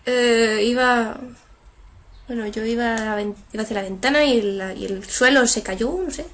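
A woman's voice: a little high, normal speed, fairly bright tone. Chatty young woman gamer. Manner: chatty, translating on the fly with many fillers. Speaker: gameplay streamer. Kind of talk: playing and reading game dialogue aloud. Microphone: headset.

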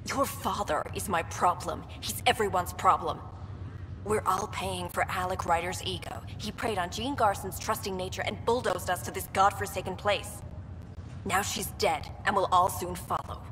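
A woman's voice: mid-range voice, normal speed, a bit bright, lightly acted. A woman voicing a bitter, grieving colonist who lays blame in a sci-fi game. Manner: bitter, accusing. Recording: long reverb, music behind.

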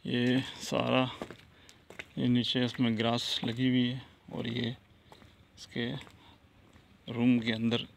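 Man, unhurried property walkthrough, phone camera, faint background noise. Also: mid-range voice, monotone, creaky. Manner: slow and plain, pointing things out with "ye jo hai na". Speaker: vlogger giving a property tour. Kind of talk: casual walkthrough narration. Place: outdoors and indoors at a farmhouse. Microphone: phone camera held by the speaker.

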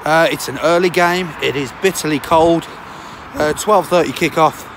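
A man, hoarse from a sore throat, chatting through a football match-day vlog to his phone outdoors with lots of fillers. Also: fairly high pitch, moderate pace, noisy background. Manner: chatty, rambling with many fillers. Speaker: football fan vlogger. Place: outdoors on a city street on a cold day. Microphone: phone held at arm's length.

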